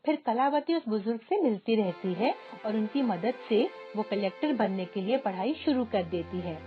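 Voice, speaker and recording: A woman's voice: mid-range voice, lively intonation, normal speed. A woman storyteller reading a scripted moral tale as narration. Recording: dull lo-fi audio, music behind.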